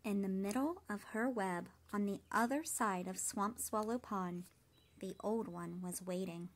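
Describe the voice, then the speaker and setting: A woman reading a storybook aloud for young children in an expressive, careful way. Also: medium pitch, unhurried. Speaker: storybook reader. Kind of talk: scripted read-aloud narration for young children.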